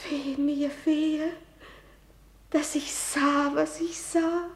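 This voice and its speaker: An actress playing a gentle, heartbroken young noblewoman in a classical radio tragedy, plaintive and solemn. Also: sits high, little pitch movement, very slow, heavily acted. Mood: grieving, despairing. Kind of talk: classical stage tragedy in German radio drama. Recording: roomy, reverberant studio sound.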